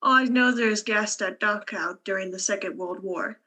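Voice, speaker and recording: A woman acting a reserved, bright-voiced scientist. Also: mid-range voice, normal speed. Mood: guarded. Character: reserved, detached female scientist, war survivor. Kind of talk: radio play acting.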